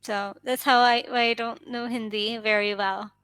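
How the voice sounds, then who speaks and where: American lady tutoring english, kinda high voice, supportive, casual chat, no room echo. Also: level tone, normal speed, fairly bright tone, clean tone. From United States. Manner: encouraging, responsive, frequent affirmations. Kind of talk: casual one-on-one conversation practice. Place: online video lesson, indoors.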